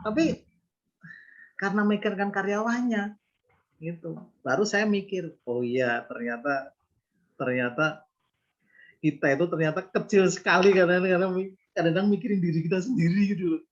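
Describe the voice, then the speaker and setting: A man's voice: sits high, moderate pace, pauses often, muffled recording. A man in his fifties, a business owner, explaining his views earnestly in an interview, often asking rhetorical questions. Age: 50s. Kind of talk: reflective interview talk.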